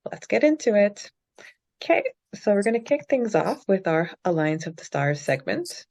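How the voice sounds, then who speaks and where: A woman's voice: medium pitch, big pitch swings, moderate pace, vocal fry, darkish tone. A woman hosting a casual podcast, informally leading the segments. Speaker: podcast host. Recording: slightly dull, lo-fi audio.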